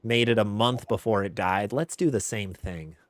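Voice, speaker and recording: A young man hosts a relaxed live stream. His voice sits low and sounds dry and close to the mic. He is upbeat, quick with asides, and laughs easily. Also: no room sound. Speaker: host. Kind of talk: casual chat, reading live questions.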